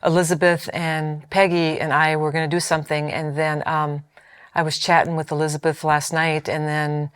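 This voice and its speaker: A woman's voice: low-pitched, little pitch movement, clear, resonant tone. A woman hosting a faith podcast in a chatty, joking way, introducing her guests. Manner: chatty, joking, quick to laugh. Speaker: podcast host. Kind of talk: casual hosting chat.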